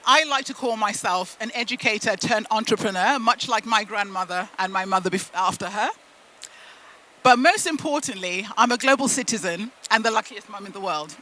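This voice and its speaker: A woman from the UK and Zimbabwe, a native Shona speaker, giving a motivational storytelling talk with deliberate phrasing and emphatic pauses. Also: a little high, bright, forceful tone, a little hiss or hum, low-quality recording. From United Kingdom and Zimbabwe. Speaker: keynote speaker at a business event.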